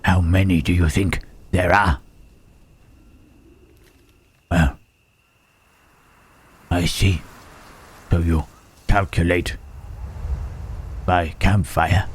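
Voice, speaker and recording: Man acting wary gentle creature, very slow, low-pitched, hushed, halting, old-fashioned wording, intimate ASMR roleplay. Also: flat delivery, breathy edge, heavily acted. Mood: thoughtful, grave. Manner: halting, formal archaic phrasing, questioning "huh".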